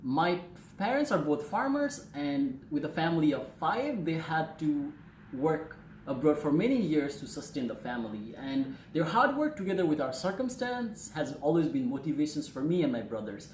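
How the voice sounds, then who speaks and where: A young man with a Nepali accent gives an earnest, formal student presentation. His voice sits a bit high. The room is echoey, with faint noise behind him. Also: big pitch swings, normal speed. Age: 20s. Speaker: student speaker. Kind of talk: prepared personal presentation.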